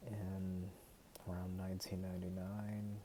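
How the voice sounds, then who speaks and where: Young man, 20s, deep low voice, unhurried, halting and soft-spoken, personal vlog at home. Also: completely flat delivery, slight background noise. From United States. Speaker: vlogger. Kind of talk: personal talk to camera.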